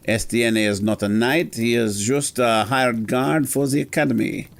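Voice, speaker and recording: A man playing a brisk, haughty eighteenth-century French gentleman in an audio drama, formal, wry and insistent. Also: medium pitch, steady tone, creaky, natural voice. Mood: wry, suspicious.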